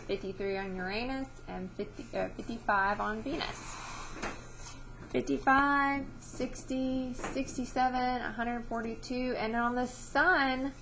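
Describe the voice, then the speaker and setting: A woman's voice: a little high, bright, forceful tone, a little hiss or hum, rough recording. A woman teacher reading a science book aloud to children, explaining as she goes and checking understanding with short questions.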